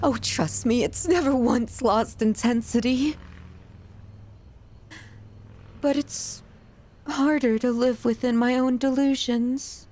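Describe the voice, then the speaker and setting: A woman performs an unhinged yandere woman, obsessive and possessive in her love. Her voice sits high and she draws her words out slowly. The delivery is a halting, emotional and dramatic ASMR roleplay monologue. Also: steady tone, darkish tone. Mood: pleading devotion.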